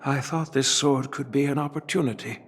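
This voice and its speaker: An actor playing an elderly master swordsmith and grandfather, telling a grave story in a measured voice in a game cutscene. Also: medium pitch, fairly even intonation, slight background noise, close to an everyday voice. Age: older. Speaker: game character. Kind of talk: video game cutscene voice acting.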